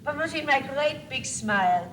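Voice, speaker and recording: A woman's voice: high voice, expressive, normal speed, a bit bright. Girl or woman playing pompous French-accented baby boy doll. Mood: smug, storytelling. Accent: put-on French. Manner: pompous, theatrical. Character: haughty four-month-old baby boy doll, self-styled Frenchman. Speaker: student actor in a school play. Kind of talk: stage one-act comedy acting. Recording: echoey, noisy 1985 school video tape.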